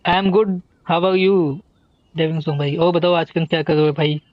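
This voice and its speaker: A man's voice: on the high side, fairly even intonation, brisk, dry recording. A man with an Indian accent chatting casually with viewers as a game livestreamer. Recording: dull lo-fi stream microphone. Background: faint game music.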